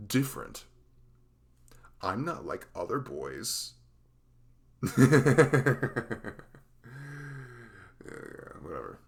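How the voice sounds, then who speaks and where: Man playing a dorky best friend, very deep, gravelly. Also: exaggerated voice. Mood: playful, self-deprecating, then teasing. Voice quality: velvety voice. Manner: rambling, halting, teasing. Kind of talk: ASMR roleplay.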